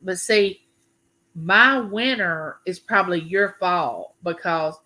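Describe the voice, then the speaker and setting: Woman, 50s, Southern US accent, bright forceful tone, folksy chatty livestream host. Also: fairly low pitch. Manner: chatty, folksy, drawn-out phrases. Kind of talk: casual live chat with viewers.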